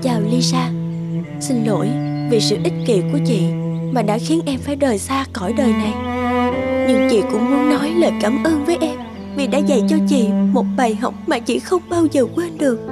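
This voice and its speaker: A woman's voice: sits high, moderate pace, lightly acted. A woman voicing a dramatic young actress. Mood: remorseful. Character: vain young actress, selfish then remorseful. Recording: cartoon soundtrack with loud music.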